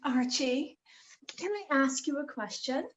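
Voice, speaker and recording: A woman as a high-voiced seductive grifter. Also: measured pace, fairly bright tone, a little hiss or hum. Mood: manipulative, pleading. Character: Wanda, seductive, scheming romantic grifter. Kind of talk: comic stage readers theater acting.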